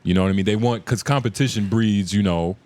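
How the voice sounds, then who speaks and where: A man's voice: lowish voice, quick pace, a little mellow. A man bantering casually as a podcast panelist, slangy and given to exclaiming when challenged.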